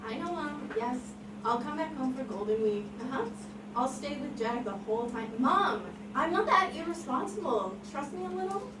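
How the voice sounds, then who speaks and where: A woman plays a huggable, easily flustered young man at a table read. The voice is on the high side, recorded at a distance in a noisy room. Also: expressive, moderate pace. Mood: fond exasperation. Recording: roomy, distant mic, heavy background noise.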